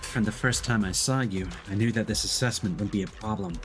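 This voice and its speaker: A man voicing a formal, measured research examiner from a video game. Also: lowish voice, soft background music, lightly acted. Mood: formal, explanatory.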